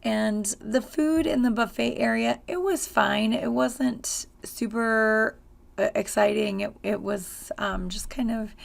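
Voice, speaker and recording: A woman with a hoarse, raspy voice, chatting casually in a travel vlog with frequent ums and uhs. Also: on the high side, slow. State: hoarse from screaming. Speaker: travel vlogger.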